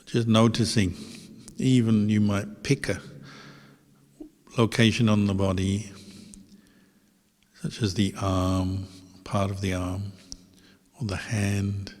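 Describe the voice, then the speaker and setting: A man who is a meditation teacher leads a guided meditation in gentle, unhurried instructions with pauses. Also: lowish voice, flat delivery. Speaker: Buddhist monk, meditation teacher. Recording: long hall reverb.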